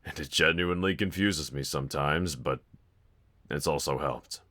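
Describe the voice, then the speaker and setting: A man's voice: a bass voice, moderate pace, bright, forceful tone, full character voice. A man playing a brooding young villain roommate in an intimate audio roleplay, confiding hesitantly and wryly to one listener. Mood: tender, sincere. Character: brooding young male villain roommate, self-loathing but softly grateful.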